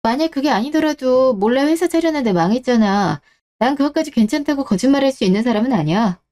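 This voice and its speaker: Radio drama role: woman as a stern, reproachful wife, cool-headed and unforgiving, slightly high pitch, very mellow timbre. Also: lively intonation, quick pace. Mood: cold, reproachful. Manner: cold, interrogating, reproachful. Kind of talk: radio-drama story dialogue.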